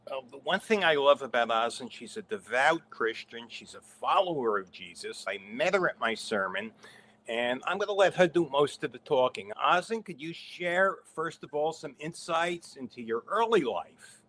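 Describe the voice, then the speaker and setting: A man's voice: mid-range voice, very animated, normal speed, fairly bright tone, a little hiss or hum. A middle-aged man hosting a livestream interview, introducing his guest effusively. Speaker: interview host.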